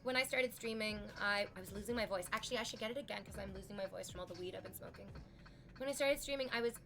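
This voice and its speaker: A woman's voice: medium pitch, very fast, creaky, fairly bright tone, slight background noise. A woman in her thirties, a streamer chatting casually with her viewers, rambling frankly with lots of "like". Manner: rambling, frank, heavy use of "like". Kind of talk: casual chat with live chat.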